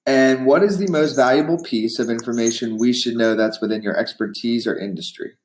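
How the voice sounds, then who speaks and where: A man hosting a business podcast, reading an ad and putting prepared questions to his guest in a steady way. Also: mid-range voice, fairly quick. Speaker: podcast host. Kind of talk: podcast interviewing.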